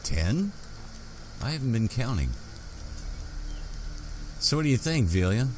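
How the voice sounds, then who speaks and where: A man role-playing his character while playing a fantasy video game, musing and halting as he talks. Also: medium pitch, sing-song, a little hiss or hum. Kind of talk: slow in-character game roleplay commentary. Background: game music and ambience.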